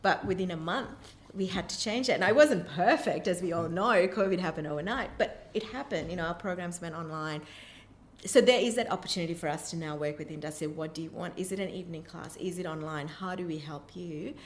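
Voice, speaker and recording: Woman, lowish voice, enthusiastic interview guest, emphatic, noisy ambient background. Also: fairly quick. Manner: enthusiastic, emphatic about the work. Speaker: interview guest, vocational college representative. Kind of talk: interview answers about education and business. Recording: clear background noise, room ambience.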